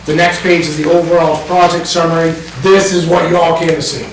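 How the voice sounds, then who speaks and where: Brief: male presenter at town meeting, slightly high voice, distant mic in a very echoey room with background noise. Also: little pitch movement, fairly quick. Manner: explanatory, walks listeners through pages, frequent ums. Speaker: planning board member presenting.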